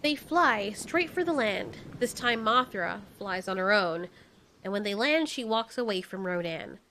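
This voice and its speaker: A woman narrating a scripted story in an expressive, storytelling style. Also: medium pitch, unhurried, slight background noise.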